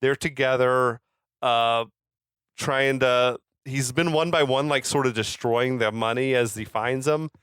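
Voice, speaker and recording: A man's voice: mid-range voice, a little slow, fairly bright tone, smooth, no echo, clean studio sound. A man co-hosting a podcast, chatting casually about film and telling stories in profane, slangy language. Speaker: podcast co-host.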